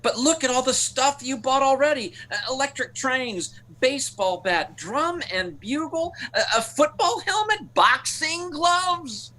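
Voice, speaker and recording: A man's voice: extremely high pitch, sing-song, measured pace, few pauses, pushed and bright, heavily acted. A man playing the dry, sensible best friend in an old-time radio comedy. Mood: exasperated, sensible.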